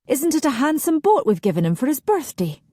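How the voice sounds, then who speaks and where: A woman's voice: sits high, very animated, fairly quick, mellow, dry recording, clean studio sound, voice pushed up. A woman voicing a proud mother who gushes about her son, from a storybook read aloud. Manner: proud, gushing.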